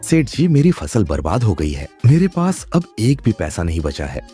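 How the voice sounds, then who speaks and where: A man voicing a poor village farmer, a husband and father, pleading and plaintive in an animated moral story. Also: on the low side, moderate pace, soft background music.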